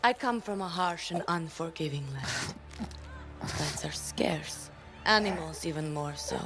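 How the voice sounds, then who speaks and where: A proud, menacing female crime boss in a video game. She speaks in a low voice with formal, deliberate grandeur, as if every word is a command. Faint music plays behind her. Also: animated. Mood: solemn, proud. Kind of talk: dramatic video game cutscene acting.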